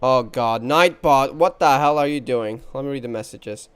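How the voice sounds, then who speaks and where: Crisp-voiced man streaming a game. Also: mid-range voice, normal speed, fairly bright tone. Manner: off-the-cuff, chatty, thinking aloud. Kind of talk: casual live playthrough commentary. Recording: game music behind the voice.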